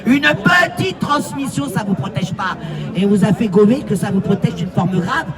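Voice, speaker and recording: A woman's voice: mid-range voice, animated, normal speed. A woman at an outdoor protest, insistently demanding an answer and lecturing. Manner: insistent, hectoring, repeats demands. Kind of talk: heated street argument. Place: city street, outdoors. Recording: distant voice, loud crowd and music.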